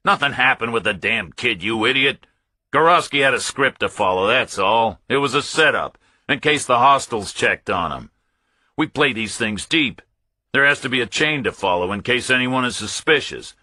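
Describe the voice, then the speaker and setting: A man's voice: medium pitch, expressive, normal speed, pushed and bright, no echo, pitched above the performer's own voice. A man voicing a cornered Pentagon officer in a thriller audiobook, arrogant and impatient, insisting on his story in a glib, defensive way. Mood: impatient, scornful.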